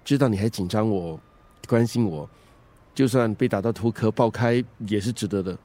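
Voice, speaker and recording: An actor playing a repentant middle-aged ex-husband in a radio drama, earnest, conciliatory and pleading. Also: male voice, on the low side, moderate pace, mellow, lightly acted. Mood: tender, sincere. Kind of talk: Chinese radio drama acting.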